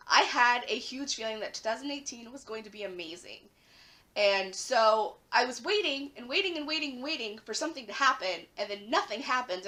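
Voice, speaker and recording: A young woman vlogging casually to her camera at home, her voice raspy and a little hoarse after the flu, chatting with plenty of "like" fillers. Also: on the high side, pushed and bright. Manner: chatty, lots of "like" fillers, rising emphasis.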